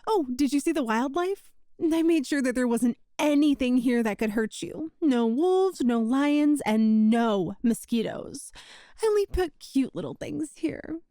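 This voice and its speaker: Woman acting a high-pitched, lovestruck yandere witch. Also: animated, unhurried, mellow, dry recording, clean studio sound. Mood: cheerful, showing off. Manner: cutesy, coy, breezily matter-of-fact about sinister things. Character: lovestruck possessive yandere witch, sweetly menacing and clingy. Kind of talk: ASMR roleplay acting addressed to the listener.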